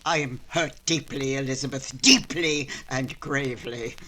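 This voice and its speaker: A woman's voice: very deep voice, a little slow, bright, forceful tone, full character voice. A woman playing a fussy, self-pitying middle-aged mother in 1940s radio comedy style, theatrical and wheedling. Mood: wounded, self-pitying.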